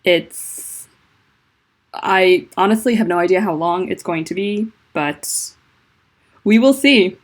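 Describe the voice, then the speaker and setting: A woman with a low, deep voice and a noticeable lisp talks to her livestream viewers from home. She rambles through her thoughts with plenty of fillers and sounds a little self-conscious. Her voice is picked up by a laptop mic. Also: slow, pauses often. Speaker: livestreaming fanfiction writer. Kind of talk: casual livestream chat. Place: at home indoors.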